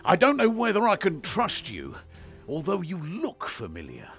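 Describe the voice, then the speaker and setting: An actor playing a weary veteran demon hunter in a fantasy game, with a gruff, wary and intense manner. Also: male voice, high voice, wildly swooping pitch, normal speed, fairly bright tone, faint music behind, full character voice. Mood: wary, troubled. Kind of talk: fantasy video game voice acting.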